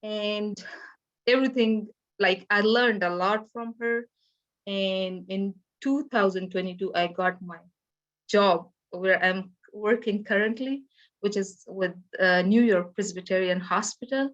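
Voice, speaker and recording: Afghan-accented woman, halting, on muffled call. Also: medium pitch, fairly even intonation. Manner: halting, with simple non-native English phrasing. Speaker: panelist sharing personal experience. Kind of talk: personal account on a virtual panel. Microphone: video call. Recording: dull, lo-fi online call audio.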